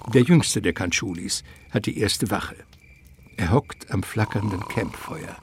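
A man narrating a comic adventure radio play as a wry, literary storyteller with measured delivery. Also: on the low side, vocal fry, slight background noise.

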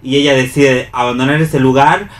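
A man's voice: medium pitch, steady tone, moderate pace. An Argentine man hosting a radio round table about a play, speaking reflectively and repeating words for emphasis. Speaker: radio host discussing a play. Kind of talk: round-table literary discussion.

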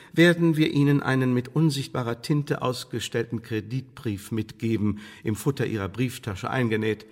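A man acting in a radio play as a smooth, officious Elizabethan spymaster, speaking in a formal, measured, condescending way with veiled menace. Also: a little high, natural voice. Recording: studio radio drama with long reverb.

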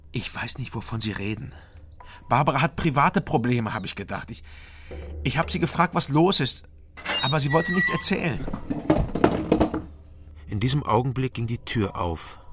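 A man's voice: on the low side, background noise, natural voice. An actor playing a wry East German man in a radio crime drama, who tells his own story in terse fragments and talks his way through questioning. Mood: nervous, evasive. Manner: terse, clipped first-person telling in short fragments. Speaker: narrator and protagonist.

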